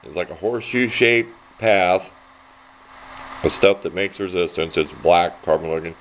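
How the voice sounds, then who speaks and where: A man's voice: sits low, moderate pace. A man giving an informal hands-on electronics tutorial in a folksy, rambling way. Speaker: hobbyist demonstrating electronics. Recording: dull lo-fi sound, faint background noise.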